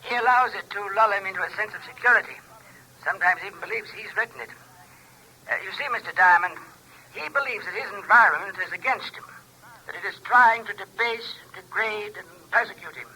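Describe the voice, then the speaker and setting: An actor playing a precise, scholarly asylum psychiatrist in a 1950s radio drama, formal and hesitant, heard through a telephone filter. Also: male voice, sits high, fairly quick, sharp tone, exaggerated voice, low-quality recording. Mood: clinical, deliberate. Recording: filtered phone voice, vintage radio recording.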